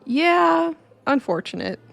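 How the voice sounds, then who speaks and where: Woman playing a creaky-voiced friendly adventurer. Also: high-pitched, big pitch swings, slow pace, a bit bright, faint music behind, full character voice. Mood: curious. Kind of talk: fantasy radio drama acting.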